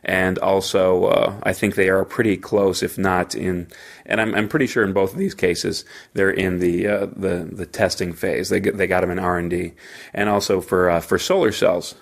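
Gravelly-voiced man with a head cold. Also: low voice, fairly even intonation, moderate pace. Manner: rambling, many fillers and restarts. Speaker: podcast host. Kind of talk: solo podcast monologue. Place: hotel room. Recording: slightly echoey hotel room.

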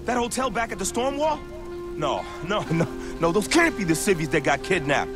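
An actor playing an agitated soldier in a video game, talking in a questioning, unsettled way. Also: male voice, high voice, big pitch swings, brisk, music in the background. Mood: disbelieving, distressed. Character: agitated, younger soldier questioning his captain. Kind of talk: dramatic video game acting.

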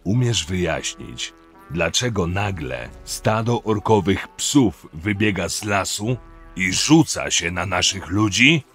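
Man playing a very deep, gruff guard captain. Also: animated, slow pace, fairly bright tone, soft background music, exaggerated voice. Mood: exasperated. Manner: blunt, swearing, weary. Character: gruff, exasperated male guard captain in a fantasy prison colony. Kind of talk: fan audio drama acting.

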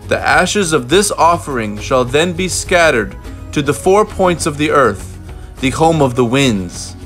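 Solemn shaman voiced by a man, proclaiming gravely. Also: fairly high pitch, expressive, measured pace, fairly bright tone. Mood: grave, stern. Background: music.

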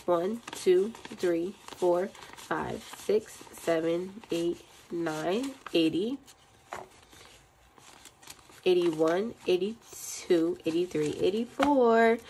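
A woman's voice: on the low side, a little mellow, a little hiss or hum, poor audio. A young woman with a cold and a stuffy voice, chatting casually and counting money aloud in her budgeting vlog. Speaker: budgeting channel host. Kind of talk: casual chat while counting cash. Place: indoors at a desk.

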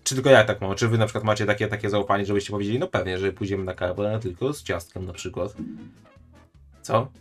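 A man's voice: on the low side, fast talker, faint music behind. A man live-streaming his reaction to a video, chatting and joking with his viewers. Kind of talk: casual live-stream commentary.

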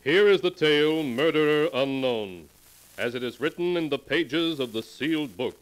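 A man's voice: on the high side, music in the background, lightly acted. A man playing a solemn, portentous storyteller in a 1940s radio mystery show. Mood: ominous. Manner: portentous, dramatic, deliberate. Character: ominous solemn storyteller reading from a sealed book. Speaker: announcer and narrator of a mystery anthology. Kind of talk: 1940s radio horror narration. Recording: reverberant, noisy 1940s radio recording.

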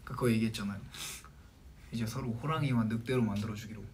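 A man's voice: on the low side, quick pace, a little mellow, a little hiss or hum. A young man from Jeolla chatting playfully with fans on a livestream and teasing his bandmate. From Jeolla, Korea. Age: young adult. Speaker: idol group member on a livestream. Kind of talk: casual chat with fans. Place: small indoor room with a plain wall.

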